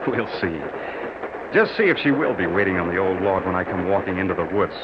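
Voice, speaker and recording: Low-voiced young soldier, old crackly radio. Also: male voice, expressive, highs cut off. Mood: nostalgic, expectant. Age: young adult. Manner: theatrical, drawn-out, dramatic delivery. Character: lovestruck young soldier returning home, earnest and eager. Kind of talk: old-time radio drama acting, narrated first person. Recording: noisy, band-limited old radio recording.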